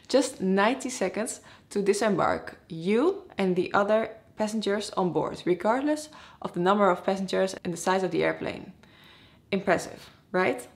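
A woman's voice: mid-range voice, lively intonation, moderate pace. A woman in her twenties from the Netherlands with a Dutch accent, presenting a scripted explainer to camera as a vlogger. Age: 20s. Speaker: vlogger, airline pilot.

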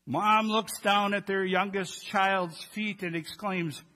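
An older man in his sixties delivers a church sermon in a notably high-pitched voice. His tone is bright and forward. He talks to the congregation conversationally, as a pastor at the pulpit. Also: fairly even intonation, takes it slowly. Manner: conversational, addresses congregation with rhetorical questions. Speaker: pastor preaching. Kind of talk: Christmas Eve sermon. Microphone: gooseneck pulpit microphones.

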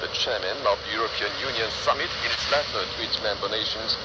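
A man's voice: lowish voice, fast talker. A man reading scripted news in a formal, stern, declamatory manner, heard over shortwave radio from a handheld receiver's speaker. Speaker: radio newsreader. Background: heavy radio static and hiss.

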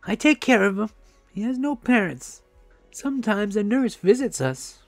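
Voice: medium pitch, lively intonation, moderate pace, no room sound, faint music behind, pitched above the performer's own voice, close to an everyday voice. A narrator voicing a kindly, chatty old grandmother in an audiobook, motherly and emphatic. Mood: reassuring.

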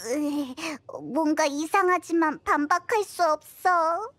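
A woman voicing a tiny, childlike floating companion in a game, excitable, whiny and easily startled. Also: high voice, slow pace, slight background noise. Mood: puzzled, grumbling. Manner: exclamatory, whiny, expressive. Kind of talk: cartoonish game voice acting.

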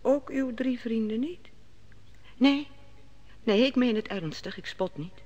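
A woman's voice: a little high, slow pace, darkish tone, dull audio. A probing, inquisitive queen. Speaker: queen questioning Daniel. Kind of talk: 1950s Dutch radio drama acting. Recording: old radio play with long reverb.

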